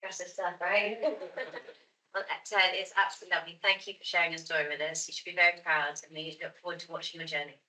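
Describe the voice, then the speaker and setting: A woman's voice: lowish voice, level tone, fast, sharp tone, slight background noise. A woman hosting a charity event, encouraging guests and praising them between questions. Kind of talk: warm-up interviewing. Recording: distant mic.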